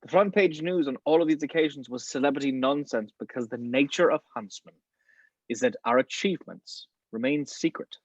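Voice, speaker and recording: A man playing a polished, calm middle-aged spy mentor in an amateur table read. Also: medium pitch, brisk, no room sound, highs cut off, natural voice. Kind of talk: amateur table read over video call.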